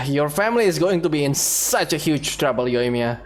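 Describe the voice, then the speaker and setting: A woman's voice: sits low, animated, normal speed, noisy background. A woman voicing a hesitant, cautious, wry companion in game dialogue, with music behind. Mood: uneasy.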